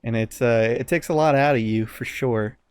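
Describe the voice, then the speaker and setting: Male podcast host. Mid-pitched, informal, halting storyteller, dry room sound. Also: clean tone, no room sound. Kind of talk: casual podcast chat.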